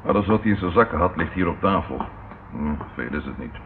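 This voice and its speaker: Deep, gravelly police detective. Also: male voice, lively intonation. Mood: grave, factual. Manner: deliberate, matter-of-fact. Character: measured, shrewd policeman colleague reporting grim findings. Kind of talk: radio play acting. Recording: muffled, noisy old radio recording.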